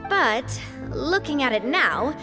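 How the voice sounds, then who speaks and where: An actress voicing a poised, sincere young woman who leads a gang, in measured, polished game dialogue. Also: high-pitched, very animated, over music, full character voice. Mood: composed. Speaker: video game character. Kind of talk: dramatic game dialogue acting.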